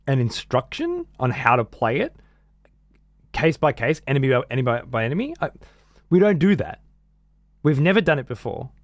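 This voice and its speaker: A hoarse man casually commenting on a game he is streaming, rambling and swearing freely. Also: on the high side, no echo, clean studio sound.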